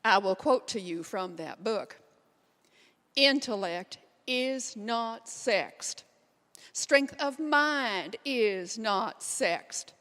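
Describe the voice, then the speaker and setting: A woman's voice: fairly high pitch, lively intonation, fairly bright tone, heavily acted. A woman playing a resolute nineteenth-century women's rights writer, delivering an earnest, emphatic readers theater monologue. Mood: composed. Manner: deliberate, emphatic oratory. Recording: reverberant hall.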